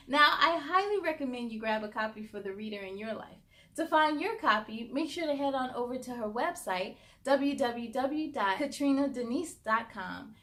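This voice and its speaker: High voiced lady doing kids read-aloud, encouraging and expressive, talks right to the little viewers. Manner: expressive, encouraging, addresses young viewers directly. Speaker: children's read-aloud host. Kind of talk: animated storybook reading for children.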